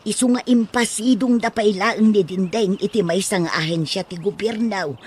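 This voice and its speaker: A woman playing a protective, outspoken older household helper in an audio drama, husky and emphatic, with a Filipino accent. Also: medium pitch, moderate pace, a little mellow, a little hiss or hum, natural voice. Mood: earnest, explaining. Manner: exclaiming, emphatic.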